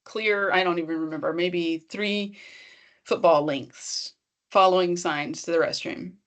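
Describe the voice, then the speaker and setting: A woman's voice: lowish voice, unhurried. A woman presenting an online accessibility workshop in a conversational, encouraging way, speaking straight to her audience.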